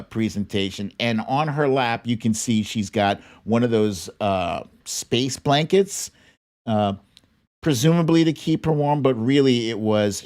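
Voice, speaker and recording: Male American commentator, medium pitch, slow delivery, opinionated and informal, talking casually to an audience as host. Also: a little mellow. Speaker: host of a political commentary show.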